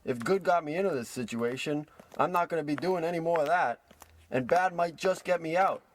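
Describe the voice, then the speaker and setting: A self-pitying small-time tough guy acted by a man in a comic old-time radio play. He has a slightly high voice and delivers wisecracks melodramatically, over a faintly noisy recording. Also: brisk, lightly acted. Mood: brooding. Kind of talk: parody of old radio drama acting.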